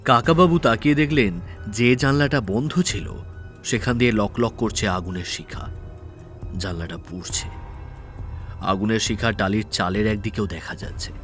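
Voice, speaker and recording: Low-voiced male story narrator. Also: normal speed, long pauses, a bit bright. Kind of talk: dramatic scripted narration. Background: faint background music.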